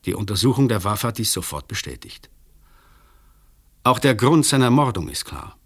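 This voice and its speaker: Weary, melancholy examining magistrate, low-voiced. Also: male voice, very animated, normal speed, gravelly, a bit bright, slightly rough, exaggerated voice. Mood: sober, resigned. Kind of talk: German radio play acting.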